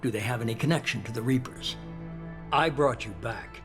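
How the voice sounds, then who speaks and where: An actor playing a composed, secretive spymaster who speaks in a measured way. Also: male voice, medium pitch, music in the background, close to an everyday voice.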